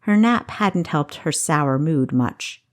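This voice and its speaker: A woman reading a fantasy story as scripted narration, with an expressive, measured delivery. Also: low-pitched. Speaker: audiobook narrator.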